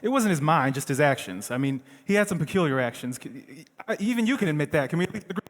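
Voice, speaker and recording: A man's voice: fairly high pitch, animated, fast talker, natural voice. A man acting in a stage play as the exasperated brother of a troubled artist, reasoning insistently and theatrically. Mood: conceding. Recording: echoey theatre hall.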